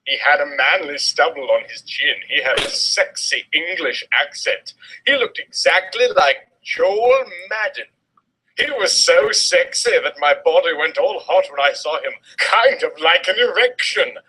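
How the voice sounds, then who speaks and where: Male dramatic reader, theatrical and comedic, bright tone, slow deliberate delivery. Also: a little high, expressive, slightly rough, poor audio. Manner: theatrical, exaggerated emphasis. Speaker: reader of fan fiction. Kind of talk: dramatic comedic story reading.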